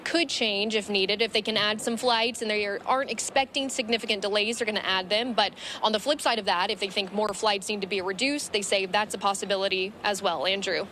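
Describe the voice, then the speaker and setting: Lady doing a live news report from the field, medium pitch, some ambient noise behind her. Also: steady tone, fairly quick, few pauses, pushed and bright. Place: on location at an airport. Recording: clear background ambient noise.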